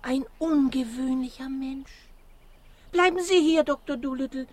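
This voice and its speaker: An actress playing an earnest, affectionate jungle monkey in a children's radio play. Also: female voice, high-pitched, measured pace.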